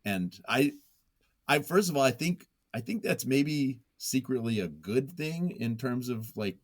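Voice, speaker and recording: A man's voice: mid-range voice, animated, unhurried. A man talking casually and reflectively as an interview guest, hesitant and self-effacing as he thinks aloud. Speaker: podcast guest, nonfiction writer.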